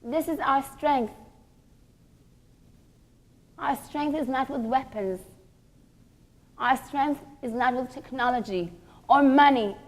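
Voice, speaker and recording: A woman teaching a religious class in a deliberate, emphatic way, pausing between short phrases and posing rhetorical questions. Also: sits high, low-quality recording.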